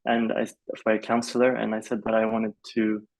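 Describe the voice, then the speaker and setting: A man's voice: on the low side, monotone, brisk, gravelly, a little mellow, poor audio. A man from Malaysia telling stories casually as an interview guest, in a rambling, hesitant way full of filler phrases. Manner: rambling, hesitant, peppered with "like" and "you know". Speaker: podcast guest, film composer.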